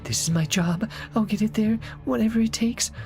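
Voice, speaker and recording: A woman's voice: mid-range voice, moderate pace, a little mellow, soft background music, natural voice. A woman voice acting an earnest, determined young messenger in a fantasy video game.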